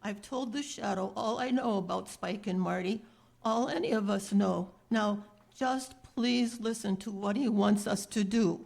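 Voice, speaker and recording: A beggar woman played by an actress pleads earnestly in a creaky, gravelly voice. It is old-time 1930s radio drama acting, urging and insistent. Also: mid-range voice, unhurried, a little mellow. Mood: anxious, pleading.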